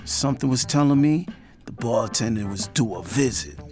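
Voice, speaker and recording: A man's voice: mid-range voice, lively intonation, normal speed, darkish tone, close to an everyday voice. A man playing a brooding man hunting for answers, narrating in the first person for an audio drama. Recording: music behind the voice.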